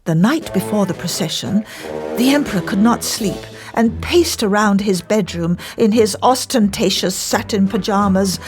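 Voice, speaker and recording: A woman reading a children's fairy tale as scripted narration, with deliberate, theatrical phrasing. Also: medium pitch, a little mellow, faint music behind. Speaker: storyteller.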